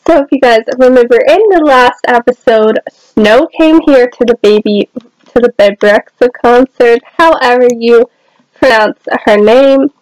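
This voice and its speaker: A woman's voice: sits high, darkish tone, no room sound, poor audio. A young woman with a nasal voice from a cold, chatting casually over her game with many fillers and hesitations. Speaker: let's-play host.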